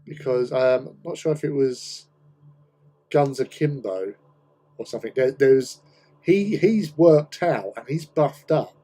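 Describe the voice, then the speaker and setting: A man with a hoarse, croaky voice from a cold, chatting casually and rambling with many pauses while hosting a hobby stream. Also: medium pitch, animated, warm tone, rough recording. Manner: rambling, hesitant, many ums. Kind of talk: casual chat while painting.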